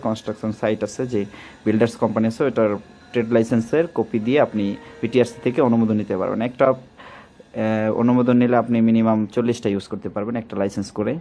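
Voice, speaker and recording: A man with a Bangladeshi Bengali accent, giving a product demonstration as a shop salesman, with rapid, repetitive sales talk. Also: fairly low pitch, dark tone.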